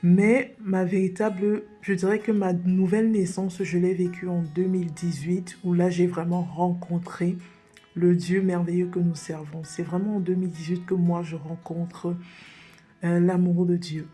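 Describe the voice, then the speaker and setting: An earnest woman from Cameroon gives a personal faith testimony as a podcast guest. Her voice is low-set, dark and mellow with a husky edge, and she often pauses on fillers and restarts her sentences. Faint guitar plays softly underneath. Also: little pitch movement.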